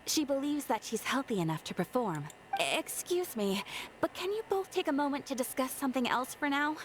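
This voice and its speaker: A woman's voice: high-pitched, very animated, normal speed, noisy background. A woman playing a courteous, businesslike guild receptionist in a video game. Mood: polite, brisk.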